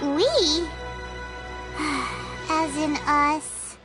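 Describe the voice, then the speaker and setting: A woman voicing a tiny, childlike fairy companion in a video game, squeaky, sassy and exclamatory. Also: very slow, over music. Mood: incredulous.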